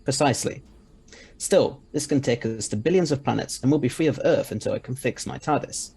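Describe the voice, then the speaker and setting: A man's voice: medium pitch, brisk, vocal fry, warm tone, husky. A man playing an eccentric, confident scientist time traveller in an audio drama, speaking articulately with a mildly superior air. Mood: confident, explanatory.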